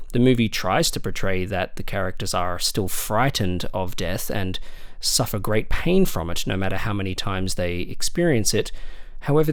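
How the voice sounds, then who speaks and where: A man's voice: lowish voice, no echo. A man delivering a semi-scripted video review with dry, wry humour and emphatic asides. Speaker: film reviewer.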